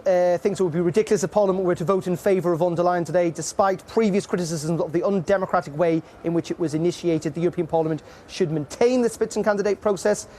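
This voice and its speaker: A man hosting a live broadcast, energetic and pressing his guests with questions, filling pauses with uh and um. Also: high-pitched, slight background noise. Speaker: host. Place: European Parliament building.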